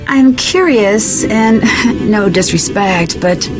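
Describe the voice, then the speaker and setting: Curious young woman detective, lively pitch. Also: slow, over music. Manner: inquisitive, polite. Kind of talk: video game dialogue.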